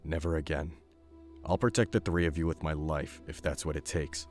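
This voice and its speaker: A man's voice: a bass voice, steady tone, moderate pace. A man playing a blunt, protective soldier who talks tersely. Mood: solemn. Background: music.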